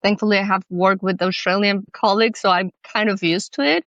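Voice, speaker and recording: A woman's voice: medium pitch, fairly even intonation, fairly quick, a bit bright, no echo, dull audio. Earnest woman guest, English, thoughtful, restarts often, dry recording. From Mexico. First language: Spanish. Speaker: guest, accessibility consultant. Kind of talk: podcast interview.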